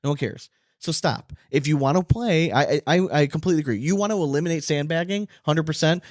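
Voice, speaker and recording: A man's voice: fairly high pitch, fast, no echo, clean studio sound. A man co-hosting a casual sports podcast, ranting combatively with lots of swearing and emphatic repetition. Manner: combative, profane, repeats himself for emphasis. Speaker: podcast co-host.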